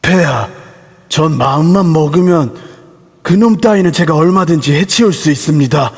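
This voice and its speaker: A man voicing the vengeful ghost of an old court noble, speaking slowly in deferential archaic speech. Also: on the high side, breathy edge. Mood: pleading. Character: vengeful ghost of a Joseon noble, torn and grieving. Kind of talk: Korean fan audio drama acting. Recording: echoey long reverb.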